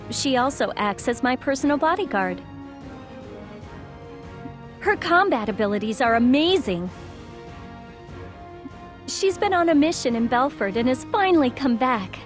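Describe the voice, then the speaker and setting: A woman's voice: high-pitched, very animated, normal speed, pauses often, soft background music. A woman playing a young princess commander in a video game, gracious, loyal and willful, polite and earnest. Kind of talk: video game cutscene voice acting.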